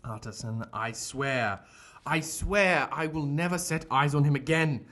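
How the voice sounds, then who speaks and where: A man acting a shaken, remorseful gentleman doctor in an audio drama, speaking formally and earnestly. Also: fairly high pitch, unhurried. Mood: agitated, insistent.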